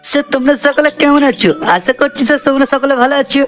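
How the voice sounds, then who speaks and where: A man's voice: extremely high pitch, level tone, fast, a bit bright. A man voicing an eager comic cartoon sidekick, peppering the talk with repeated filler words. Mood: chatty. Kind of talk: cartoon character addressing viewers. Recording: voice over background music.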